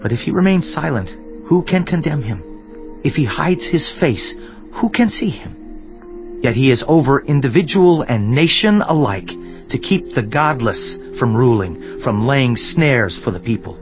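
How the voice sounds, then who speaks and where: A man's voice: medium pitch, animated, unhurried. An actor playing an earnest, indignant biblical man, declaiming in an oratorical dramatized scripture reading with rhetorical questions. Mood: indignant, exhorting. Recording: dull lo-fi audio with music. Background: background music.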